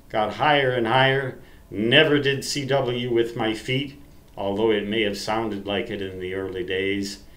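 An American man in his sixties rambling through memories to the camera in a folksy, halting, self-deprecating way, recorded on a webcam mic in a room at home. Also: mid-range voice, fairly bright tone, echoey room. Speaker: amateur radio vlogger.

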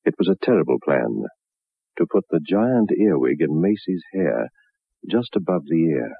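Grave male narrator for a macabre 1960s radio tale: deep, measured, dry narrow-band sound. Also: sing-song, vocal fry, slightly rough, no echo, exaggerated voice. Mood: grave, ominous. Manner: measured, ominous storytelling. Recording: muffled narrow-band old radio recording.

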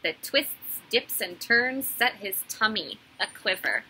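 Lady teacher doing a kids storybook read aloud, slow and expressive, a bit of background noise on the webcam. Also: medium pitch, low-quality recording. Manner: deliberate, animated storytelling. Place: small indoor room.

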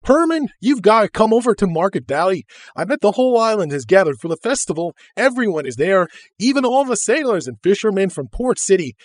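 A chubby, cheeky younger brother with a raised, eager voice, played by a male narrator. He talks fast and breezily, full of excitement. The recording is dry and close. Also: sits high, no echo, pitched above the performer's own voice. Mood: excited.

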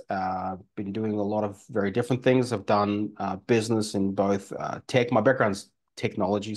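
Low-voiced Australian-accented man, guest. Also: darkish tone. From former Soviet Union, raised in Australia. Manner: rambling with many fillers and restarts. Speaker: guest entrepreneur. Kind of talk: casual interview talk. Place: home room by a fireplace. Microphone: video call webcam.

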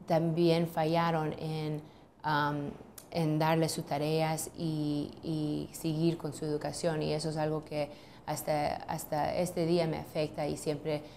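Low, flat-voiced woman lawyer, hesitant. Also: a little slow, a little hiss or hum. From United States. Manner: hesitant, many ums and restarts. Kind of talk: filmed interview answers. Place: office, seated at a desk.

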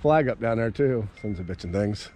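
A man from Ohio giving casual, excitable play-by-play while ice fishing, recorded outdoors on a frozen pond with a body-worn camera. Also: lowish voice, moderate pace. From Ohio, USA. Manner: chatty, excitable, swears casually. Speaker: fishing video host. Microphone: body-worn action camera. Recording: outdoor camera audio with steady noise.

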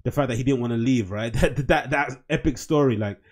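A man's voice: mid-range voice, brisk, darkish tone. Man, 30s, rambling solo podcast chat, desk mic, small home room. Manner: rambling, chatty, reads captions aloud in mock voices. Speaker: podcast host. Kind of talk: casual solo commentary. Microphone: desk mic on boom arm.

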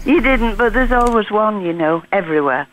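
A woman's voice: medium pitch, lively intonation, normal speed, fairly bright tone, low-quality recording. An older woman calling a radio phone-in over the phone, earnest and sympathetic, with hesitant fillers.

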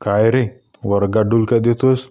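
A man's voice: on the low side, steady tone, moderate pace, highs cut off, noisy background, lightly acted. Man playing a stern cartoon schoolteacher. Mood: stern, scolding. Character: stern, brisk schoolteacher quizzing his pupil. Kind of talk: cartoon comedy skit dialogue.

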